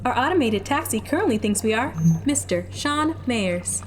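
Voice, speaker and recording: A woman's voice: a little high, lively intonation, normal speed, a little hiss or hum, lightly acted. A woman voicing a polite, helpful female android companion who speaks in formal, precise phrases. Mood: calm. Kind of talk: audio drama acting.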